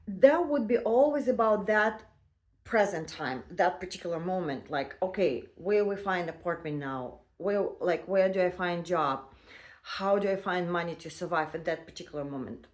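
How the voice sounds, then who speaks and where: A woman in her fifties telling her own story in a casual, halting way, with many fillers and non-native phrasing. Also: mid-range voice, darkish tone. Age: 50s. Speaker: vlogger.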